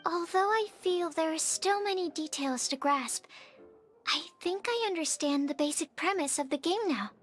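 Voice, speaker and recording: Game role: small earnest youngster, very high voice, polite and careful, over background music. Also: female voice, normal speed. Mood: earnest, thoughtful. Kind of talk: video game voice acting.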